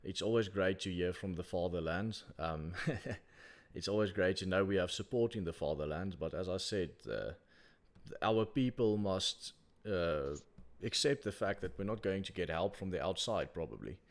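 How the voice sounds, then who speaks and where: Man, low voice, call-in show host, from south africa, measured talk. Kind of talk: casual call-in chat.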